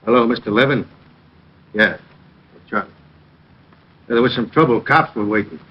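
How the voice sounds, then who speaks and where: An actor playing a furtive small-time crook, husky and hesitant, in an old-time radio crime drama. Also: male voice, mid-range voice, moderate pace, close to an everyday voice. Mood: nervous, apologetic. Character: furtive small-time male narcotics crook reporting to his boss. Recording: dull vintage radio recording.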